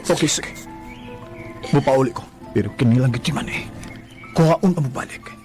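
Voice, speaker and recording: A man's voice: medium pitch, moderate pace, a little mellow, faint music behind, close to an everyday voice. An actor with a Cebuano Filipino accent playing an anguished, insistent man who demands the truth from his former girlfriend in a radio drama. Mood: resolved, longing. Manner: insistent, emotional. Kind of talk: Bisaya radio drama acting, dialogue and first-person narration.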